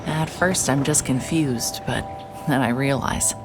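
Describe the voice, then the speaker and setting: Dry, very low-voiced woman narrator. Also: measured pace. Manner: dry, wry, world-weary. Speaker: narrator and lead character, a private investigator. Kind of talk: scripted first-person noir narration. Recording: music bed under narration.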